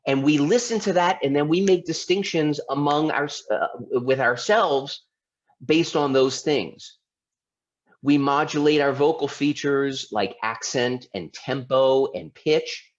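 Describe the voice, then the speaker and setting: A man's voice: a little high, measured pace. A man from Baltimore giving an informal presentation as a lawyer, talking conversationally with restarts and rhetorical questions. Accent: Baltimore, mostly suppressed. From Baltimore, United States. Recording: slightly dull lo-fi audio.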